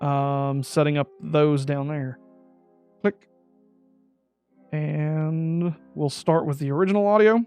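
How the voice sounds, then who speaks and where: A man's voice: fairly even intonation, slow pace, pauses often, a little mellow, clear, resonant tone, faint music behind. A man giving a step-by-step tutorial on a USB microphone, talking his way through each setting and correcting himself as he goes. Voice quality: describes his own voice as high-pitched. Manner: talks through steps aloud, corrects himself mid-sentence. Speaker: streamer explaining audio setup. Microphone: USB desk microphone.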